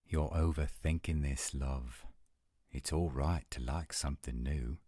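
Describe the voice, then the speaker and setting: A man playing an adult male werewolf lover in a romantic roleplay audio, speaking in an intimate, measured, coaxing and reassuring way. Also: a bass voice, warm tone, slightly rough, no echo, clean studio sound, full character voice. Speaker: voice actor.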